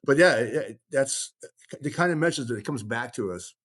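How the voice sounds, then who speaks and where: A man talking casually as he tells stories and explains things, rambling and halting, often trailing off mid-thought. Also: medium pitch, lively intonation, fairly bright tone, husky. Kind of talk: casual conversational storytelling and explaining.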